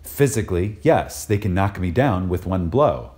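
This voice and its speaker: A man reading a spiritual lecture aloud in a reverent, dramatic style, deliberate and emphatic, with pauses for effect. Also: sits low, lively intonation, darkish tone.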